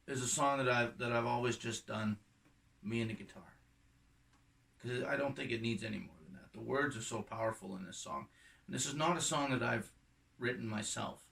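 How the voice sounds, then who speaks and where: A middle-aged man with a slightly croaky voice, a musician talking casually and reflectively between songs in an unhurried, halting way that trails off softly. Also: medium pitch, animated, distant mic. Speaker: acoustic folk and bluegrass musician.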